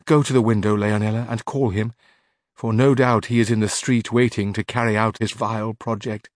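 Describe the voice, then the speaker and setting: A man's voice: on the low side, steady tone, normal speed, dry recording, clean studio sound, natural voice. A man reading the part of a proud, vengeful noblewoman in a storybook, impassioned and declamatory. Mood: indignant.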